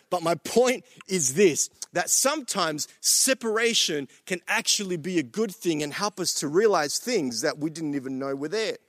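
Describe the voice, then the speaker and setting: A man's voice: a little high, a bit bright, dry recording, studio quality. A man born in El Salvador preaching a sermon to his church and home viewers, earnest and repetitive, with many fillers and restarts. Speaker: pastor.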